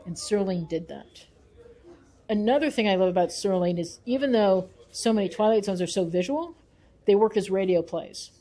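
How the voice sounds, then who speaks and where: Female interviewee, researcher and author type. Mellow tone, mid pitch, earnest and emphatic explainer. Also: normal speed. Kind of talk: thoughtful interview talk.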